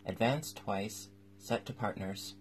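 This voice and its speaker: A brusque storybook gryphon , played by a man with a gravelly, low voice. The beast is bossy and excitable, cutting in bluntly and emphatically. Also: slow pace, slight background noise, rough recording. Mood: excited.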